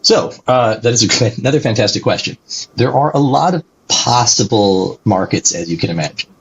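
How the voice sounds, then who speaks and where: A man's voice: mid-range voice, lively intonation, normal speed, gravelly, slightly rough. A man being interviewed as a guest, explaining things animatedly and tagging sentences with "right?", on a dull lo-fi recording. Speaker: guest, company executive. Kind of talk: conversational interview answers.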